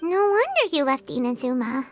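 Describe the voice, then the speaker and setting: A small childlike fairy girl from a video game. The voice is squeaky and very high, swinging lively up and down. The manner is chirpy, eager and earnest, and music plays behind it. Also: moderate pace. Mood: surprised, supportive. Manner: talks of herself in third person, expressive and eager.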